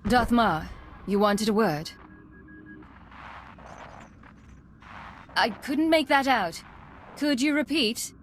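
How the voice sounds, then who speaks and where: A woman's voice: a little high, measured pace, a little hiss or hum, natural voice. A woman playing a steadfast, principled agent commander in a sci-fi game, controlled and serious. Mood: businesslike. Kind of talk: video game cutscene acting.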